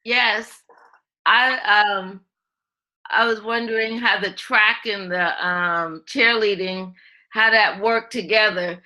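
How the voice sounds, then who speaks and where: Bright, friendly, curious woman teacher. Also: medium pitch, fairly even intonation, slow, poor audio. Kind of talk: asking questions on a video call. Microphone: video call.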